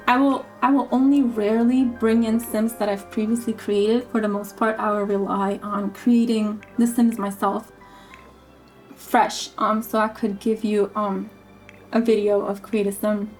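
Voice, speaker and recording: A woman who is losing her voice, talking casually about her game plans in a halting way, repeating words and filling pauses with um. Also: fairly high pitch, level tone, a little mellow, soft background music, low-quality recording. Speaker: gaming YouTuber. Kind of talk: casual gameplay commentary.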